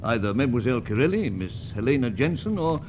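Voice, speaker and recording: An actor playing an elderly, genteel British knight in a radio adventure serial, droll, fussy and given to hesitant musing. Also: male voice, big pitch swings, quick pace, close to an everyday voice. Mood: suspicious, musing. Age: older. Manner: droll, ruminative, hesitant, old-fashioned. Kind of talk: old-time radio adventure serial acting. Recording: dull, noisy, rumbling lo-fi audio.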